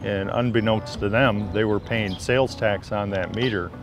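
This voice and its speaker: A man's voice: fairly low pitch, steady tone, normal speed. A man working as an energy auditor, telling anecdotes in an interview. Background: clear background music.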